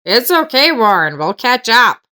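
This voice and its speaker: A supportive male martial arts coach in an audio story, voiced by a woman narrator. He speaks in a reassuring, emphatic way, with the pitch raised above ordinary narration. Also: big pitch swings, unhurried, bright, forceful tone, heavily acted.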